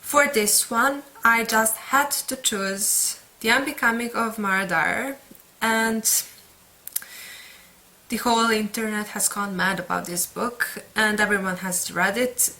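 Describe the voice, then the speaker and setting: A young woman with a Serbian accent, a book vlogger talking casually through a tag video, unhurried and pausing often with fillers. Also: medium pitch, sharp tone. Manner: unhurried, pauses with many "uh" fillers.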